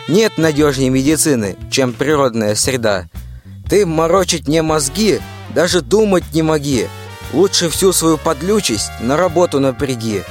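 A male performer playing a blunt, impatient, belligerent general who speaks in pushy rhyme in a radio fairy tale. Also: a little high. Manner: pushy, blustering rhymed speech.

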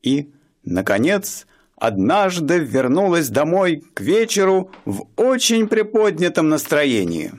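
Expressive male narrator of a radio play. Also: a little high, unhurried. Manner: expressive storytelling.

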